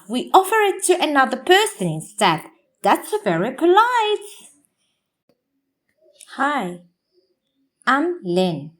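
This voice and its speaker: Slow, high-voiced woman teacher reading aloud. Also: fairly bright tone. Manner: deliberate word-by-word enunciation. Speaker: English pronunciation teacher. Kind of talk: reading practice passage read aloud for learners.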